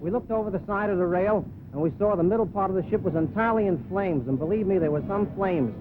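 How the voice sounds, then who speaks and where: A man's voice: sits high, fast talker, over music. A plain-spoken man giving an unpolished eyewitness account for an old newsreel. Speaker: ship crew member, eyewitness. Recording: tinny, narrow 1930s newsreel sound.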